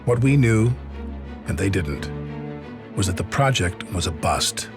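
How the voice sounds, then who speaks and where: A man's voice: deep voice, very animated, measured pace, over music, heavily acted. A man playing a sober soldier narrator, giving scripted dramatic war narration for a game. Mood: sober, reflective. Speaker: story narrator.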